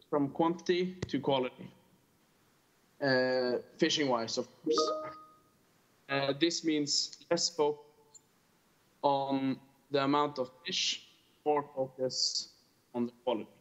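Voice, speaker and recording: A man's voice: fairly high pitch, steady tone, takes it slowly, long pauses, a bit bright, slight background noise. A man in his early twenties with a Norwegian accent, earnestly giving a prepared conference presentation. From small island in northern Norway. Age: early 20s. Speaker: fishing tourism operator, panel speaker. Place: remote call into a conference. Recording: dull lo-fi video call audio.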